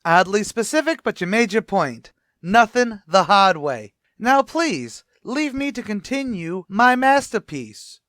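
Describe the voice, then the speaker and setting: A blustery, self-important tavern manager, voiced by a man, in old-time radio comedy style. His wisecracks leap all over the pitch range. The recording is close and dry. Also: big pitch swings, measured pace, hardly any pauses, a bit bright, dry recording, full character voice. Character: boastful wisecracking male tavern manager, adult.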